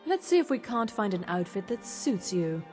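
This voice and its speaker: A woman playing a haughty, sarcastic clothing shopkeeper in a video game, with disdainful, drawn-out delivery. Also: medium pitch, big pitch swings, a little mellow, natural voice. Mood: snooty selling. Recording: long reverb, faint music behind.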